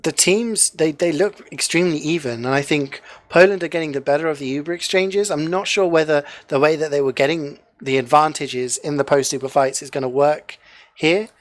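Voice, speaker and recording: A man casting a live online game match in a relaxed, chatty way, leaning on filler phrases and light jokes. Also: a little high, fairly quick, no echo. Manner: chatty, heavy use of "you know" fillers, joking. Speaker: esports caster. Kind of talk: casual live game commentary.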